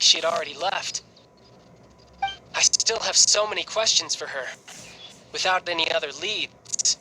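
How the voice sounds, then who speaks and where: An actor playing a cocky, cheerful young warrior in a video game, breezy, playful and eager for a fight. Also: male voice, high voice, bright, forceful tone, a little hiss or hum, heavily acted, rough recording. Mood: earnest, determined. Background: game music.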